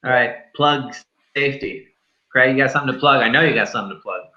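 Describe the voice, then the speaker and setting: A man's voice: mid-range voice, fast talker. A man hosting in a blunt, informal, casual chatting style, on a slightly dull recording. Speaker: podcast host. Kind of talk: casual banter, movie review chat.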